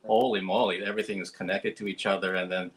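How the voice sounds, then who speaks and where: A man's voice: low voice, steady tone, fast, fairly bright tone. A man interviewing a guest, speaking earnestly and chattily with plenty of filler words. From United States. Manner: earnest, talkative, many ums and likes. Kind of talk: casual interview over video call.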